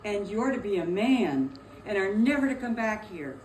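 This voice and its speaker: A woman's voice: mid-range voice, sing-song, normal speed, darkish tone, lightly acted. A woman playing an affectionate, eager little girl in a dramatic stage reading, speaking earnestly. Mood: tender, joyful. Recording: echoey room, faint noise.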